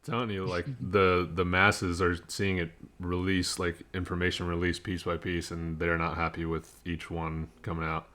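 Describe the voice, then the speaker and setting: A man's voice: low voice, little pitch movement, moderate pace, hardly any pauses, fairly bright tone. A man chatting casually as a host on a gaming podcast. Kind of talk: casual chat.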